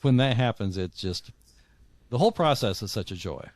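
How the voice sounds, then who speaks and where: Deep-voiced man, halting and reflective. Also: expressive, no room sound, clean studio sound. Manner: halting, repeats words while searching for phrasing. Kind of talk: reflective interview conversation.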